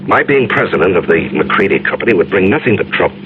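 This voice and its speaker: An actor playing a secretive, anxious business executive in a 1970s radio drama, halting and guarded. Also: male voice, low-pitched, big pitch swings, a little hiss or hum. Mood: troubled. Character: troubled, hesitant, secretive male business executive. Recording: muffled vintage radio broadcast, music behind.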